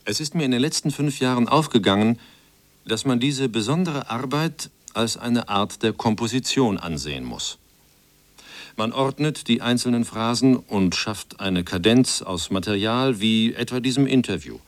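A man's voice: medium pitch, animated, moderate pace, dry recording. A man reading quotations from a script for a radio feature. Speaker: reader of translated quotations.

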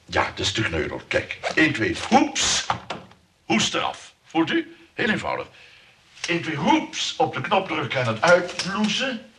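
A fussy male shop salesman in a comic radio play, his pitch swinging animatedly. He stammers, repeats himself and stays anxiously polite, over clear background noise. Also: huge pitch swings, unhurried. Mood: eager, polite. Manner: stammering, repetitive, overly polite patter. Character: fussy, flustered umbrella shop salesman, eager but clumsy. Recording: noisy old radio play recording.

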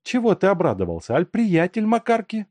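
Bossy, scornful thief girl, pitched high and sharp; male narrator plays her. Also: wildly swooping pitch, brisk, no room sound. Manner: sharp and scolding. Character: fierce young woman thief, scornful and bossy. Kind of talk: audiobook character voice.

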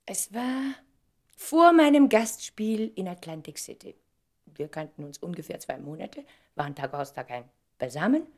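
An actress playing a tender, wistful woman reunited with her first love in an intimate German radio drama, soft and often hushed. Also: on the low side, big pitch swings, moderate pace. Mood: wistful, playful.

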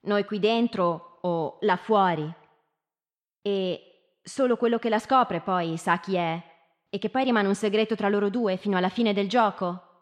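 An actress playing an inquisitive schoolteacher in live radio theatre, putting short, probing questions to children in speech. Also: female voice, medium pitch, measured pace, natural voice.

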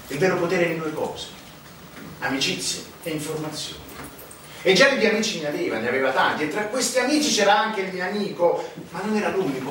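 A man's voice: high voice, noisy background. Sardonic stage storyteller played by a man, heavy echo. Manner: emphatic, ironic, rhetorical. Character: sardonic insider narrating political scandal. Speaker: stage actor, narrator. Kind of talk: theatrical narration. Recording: echoey stage, distant mic, music behind.

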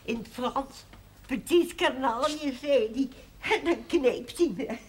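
A woman's voice: high-pitched, slow pace, darkish tone. An actress playing a pious, teasing, plain-spoken village woman in a naturalistic television period drama. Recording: 1970s TV audio with faint noise.

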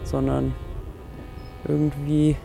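A man reflecting personally without a script, halting as he thinks aloud. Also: a little high, monotone, vocal fry, mellow, faint music behind.

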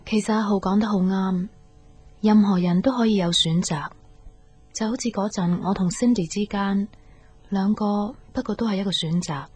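An actress with a Hong Kong Cantonese accent playing a pensive, soft-hearted young woman unsure in love, reflective and earnest in an intimate radio drama. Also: medium pitch, moderate pace, natural voice. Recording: dull radio recording, music underneath.

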